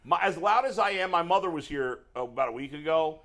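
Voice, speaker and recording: A middle-aged man with a New York accent and a deep voice, though it sits a bit higher than most men's. He rants in loose, exasperated bursts as a talk show co-host. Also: fairly quick. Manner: animated, exasperated ranting. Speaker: sports radio co-host. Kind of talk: casual ranting chat.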